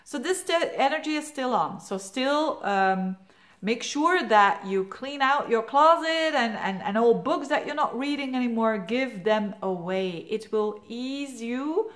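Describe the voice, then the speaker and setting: A woman's voice: a little high, expressive, unhurried, a bit bright. A woman with a Flemish accent whose first language is Dutch gives a chatty, rambling horoscope talk as an astrologer, full of fillers and restarts. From Belgium. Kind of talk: casual monthly horoscope talk.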